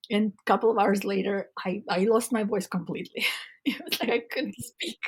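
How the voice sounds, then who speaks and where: A woman co-hosting a casual spiritual podcast chat, with a raspy, congested voice, speaking earnestly and often restarting her phrases. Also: medium pitch, fairly even intonation, moderate pace, darkish tone. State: congested throat. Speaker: podcast co-host.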